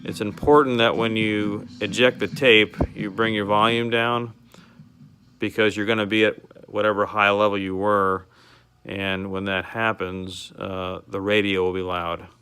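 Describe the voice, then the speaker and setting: A man's voice: lowish voice, little pitch movement, a little slow, bright, forceful tone, smooth. A man giving an informal, step-by-step product demonstration to a customer, explaining how a piece of equipment works. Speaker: car radio restorer demonstrating a converted radio.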